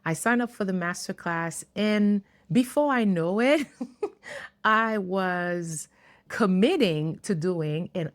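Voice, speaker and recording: A woman in her fifties, for whom English is not a first language, telling a personal story to camera in an earnest, reflective way with light laughs. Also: mid-range voice. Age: 50s. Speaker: YouTuber.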